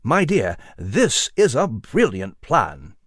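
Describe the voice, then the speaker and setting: A man's voice: mid-range voice, huge pitch swings, slow, a bit bright, no room sound, studio quality, exaggerated voice. Nigel, a man voicing a courtly mouse. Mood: genteel. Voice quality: courtly, formal diction. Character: genteel old male mouse, proper and kindly.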